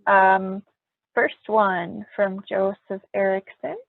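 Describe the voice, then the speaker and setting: Thin, phone-like audio carries a woman moderator of average pitch. She takes her time as she informally reads out questions for a panel, with a dry sound. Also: fairly even intonation, slow pace, creaky, no room sound. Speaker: moderator reading audience questions. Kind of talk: casual question and answer hosting. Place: remote group video call.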